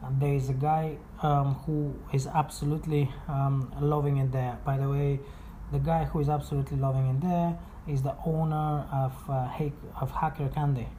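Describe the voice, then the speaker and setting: A woman from Bulgaria with a deep, soft-edged voice talks through an informal explainer. Her phrasing is halting, with frequent self-corrections. There is faint noise in the background. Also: flat delivery, low-quality recording. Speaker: blogger and presenter.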